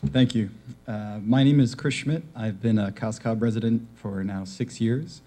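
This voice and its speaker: A man in his forties speaks at a town meeting as a parent and volunteer. His voice is dark and mellow, and he talks plainly and steadily with a few filler uhs. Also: on the low side, steady tone, normal speed. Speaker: public commenter, volunteer coach. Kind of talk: public comment speech.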